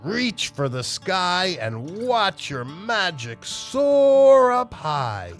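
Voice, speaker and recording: A man voicing a wise, encouraging magical butterfly in a children's storybook, gentle, measured and reassuring. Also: high-pitched, sing-song, soft background music, voice pushed up, full character voice.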